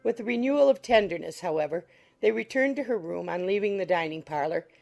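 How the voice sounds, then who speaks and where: A woman's voice: medium pitch, animated, quick pace, creaky, a little mellow. A woman reading scripted literary narration as an audiobook narrator. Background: music.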